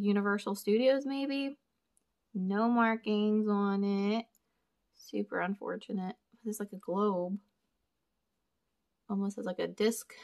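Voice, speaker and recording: Young woman vlogger, gravelly fry, chatty, thinking aloud to viewers, mid pitch, indoor tabletop camera. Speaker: storage unit reseller and vlogger. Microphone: camera over a table, hands only in frame.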